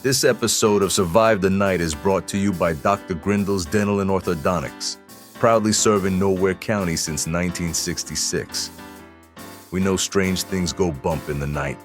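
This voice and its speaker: A man plays a reassuring advert announcer with a sinister edge. His pitch is fairly low and his delivery is that of a polished salesman in a parody radio spot. Soft music sits behind him. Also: normal speed, close to an everyday voice. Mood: reassuring, sly. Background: faint music.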